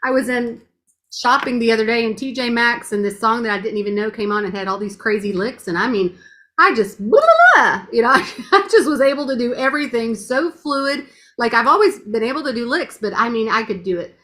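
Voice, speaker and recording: A woman's voice: fairly high pitch, lively intonation, brisk, a bit bright. A woman talks in a casual, gushing testimonial. Her voice is strong. She laughs often and keeps the talk chatty. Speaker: guest giving a testimonial.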